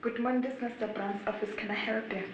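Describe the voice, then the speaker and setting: A woman's voice: a little high, fairly even intonation, quick pace, muffled recording. A woman working as a temporary secretary, answering the office phone politely in a small office, caught on a hidden camera. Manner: polite, then flustered and giggly. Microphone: hidden camera microphone. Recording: echoey, noisy hidden-camera sound.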